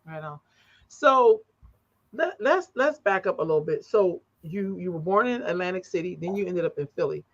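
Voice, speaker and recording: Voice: mid-range voice, expressive, normal speed, fairly bright tone, highs cut off. A host interviewing a guest, encouraging them with frequent affirmations. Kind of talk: conversational interview.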